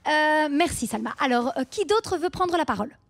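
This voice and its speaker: An actress playing a brisk, falsely cheerful, patronising woman leading a support circle in a satirical radio drama. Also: high-pitched, expressive, creaky, a little hiss or hum. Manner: patronising, bossy, gushing.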